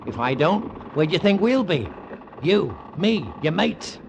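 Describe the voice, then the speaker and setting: An actor playing a hard, callous ship's first mate in an old radio drama, with rough speech and a sardonic manner. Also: male voice, sits high, big pitch swings, a little slow, lo-fi sound, noisy background, heavily acted. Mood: menacing.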